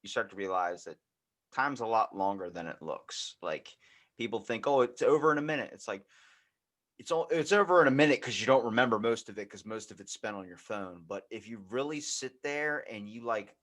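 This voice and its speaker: A man's voice: on the low side, expressive, brisk, fairly bright tone. A man hosting a podcast in a casual, rambling, musing way, with emphatic phrases and lots of filler "like". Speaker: podcast host. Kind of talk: casual conversational interview.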